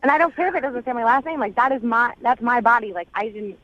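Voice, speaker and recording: A woman's voice: fairly high pitch, fast talker, a little hiss or hum. A young adult woman talking casually as a caller on a radio show, blunt and indignant. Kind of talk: casual phone chat. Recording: muffled phone line.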